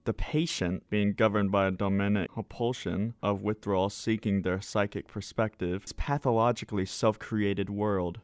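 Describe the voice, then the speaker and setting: Man playing a low, pompous psychiatrist. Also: steady tone, normal speed, hardly any pauses, clean tone, no room sound, natural voice. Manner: pompous, wordy. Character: pompous, muddled psychiatrist spouting jargon. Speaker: amateur radio drama actor. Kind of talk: radio drama acting.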